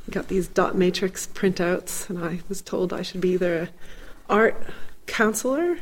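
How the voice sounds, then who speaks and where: A woman's voice: fairly low pitch, poor audio. A woman from New England, a guest on a radio interview, talking thoughtfully with many restarts. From New England, United States. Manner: thoughtful, many restarts, quick bursts of animation. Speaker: guest, environmental studies director.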